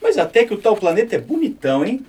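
A man's voice: high-pitched, wildly swooping pitch, fast talker, warm tone, husky, far from the mic, exaggerated voice. A man with a Brazilian accent playing a friendly, chatty newsstand vendor in a scripted radio drama.

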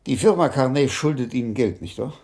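An actor playing a composed police commissioner who questions people in a measured, polite way in a radio drama. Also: male voice, medium pitch, animated, darkish tone, slightly rough. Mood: composed, probing. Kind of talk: radio crime drama acting.

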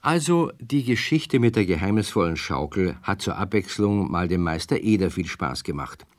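Low-voiced male storyteller for children. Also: animated, normal speed, no room sound, studio quality. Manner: warm, addresses children directly. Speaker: narrator.

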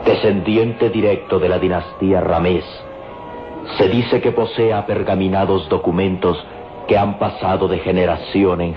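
A man's voice: low-pitched, close to an everyday voice. An actor playing a solemn, devoted Egyptian man in an old radio serial, speaking reverently and declaiming in style. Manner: slow, declamatory, reverent delivery. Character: solemn, devoted Egyptian man in love, loyal to his people. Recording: dull old radio recording with music. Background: prominent dramatic music.

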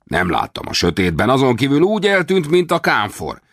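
A man's voice: medium pitch, huge pitch swings, a bit bright, dry recording, pitched above the performer's own voice. Man playing a gloating bandit chief. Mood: dismissive. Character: scheming, gloating male bandit leader.

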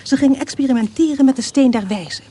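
A woman narrating a radio play, reading scripted descriptions in a measured, matter-of-fact way. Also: high-pitched, dark tone, slight background noise, lightly acted. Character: measured female storyteller reading descriptions and life histories.

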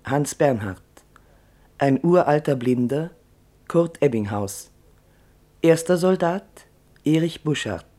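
A male radio announcer reads a formal script. His tone is mellow and his delivery slow and measured, with every item set apart. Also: a little high, expressive.

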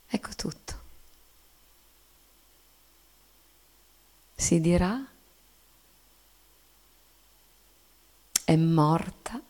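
Tragic wife, low and gravelly. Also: female voice, a little mellow, heavily acted. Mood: hushed. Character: bourgeois wife, solemn and tragic, resolved on death. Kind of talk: theatrical monologue reading, poetic.

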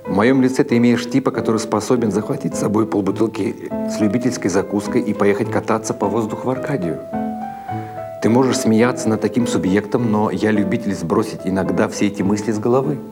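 A man's voice: lowish voice, brisk, over music, natural voice. A man voicing a talkative, sly gangster with florid, mock-courteous politeness in an audiobook story. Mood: breezy, chatty. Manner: florid, ornate colloquial politeness.

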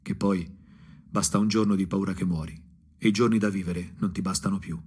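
An actor playing a disillusioned provincial ex-punk looking back with bitter irony, in a husky, brooding radio drama monologue with dramatic pauses. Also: male voice, deep voice, fairly even intonation, a little mellow. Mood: bitter, weary. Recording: music playing under the voice. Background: guitar music.

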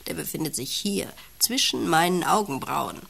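An actress playing a volatile, possessive wife who coos and commands in a satirical radio drama. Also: female voice, low voice, animated, normal speed, gravelly, fairly bright tone, husky, exaggerated voice. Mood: urgent, confiding. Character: volatile, possessive wife, by turns cooing, bossy and desperate.

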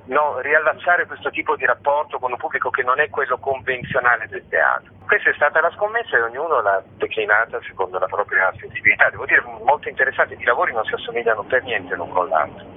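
A man's voice: medium pitch, lively intonation, fast talker, few pauses, breathy edge. A man being interviewed as a guest on radio, talking in a discursive way with many filler phrases. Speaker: guest, theatre festival organiser. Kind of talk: spoken radio interview, explanatory. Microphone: telephone. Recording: noisy narrow-band phone line.

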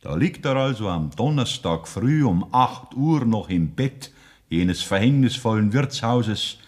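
An actor playing a mock-solemn ballad narrator, rhetorical and declamatory, in a 1960s radio play. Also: male voice, on the low side.

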